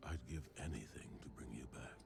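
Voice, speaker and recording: An actor playing a stern, weary male commander who speaks in a solemn, measured way, quiet with grief. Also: extremely low pitch, gravelly. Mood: grieving. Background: music score.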